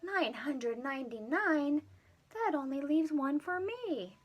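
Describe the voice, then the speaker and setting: A plucky storybook boy, played by a woman, pitched high and easily flustered. He exclaims and frets in lively swoops. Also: takes it slowly, dull audio. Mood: dismayed. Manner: exclamatory, fretful. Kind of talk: children's storybook read-aloud.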